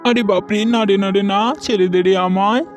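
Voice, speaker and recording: A woman's voice: mid-range voice, steady tone, brisk, over music, lightly acted. A woman voicing a boastful, bullying lion king in a children's cartoon, blustering and exclamatory. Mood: panicked wailing. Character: bullying, boastful lion king who turns wailing coward.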